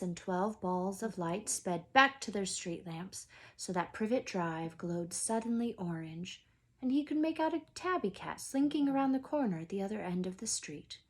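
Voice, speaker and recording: A woman reads a children's storybook aloud as a teacher would. Her pace is slow and her voice a little husky, with expressive phrasing that tends toward a hush. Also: medium pitch.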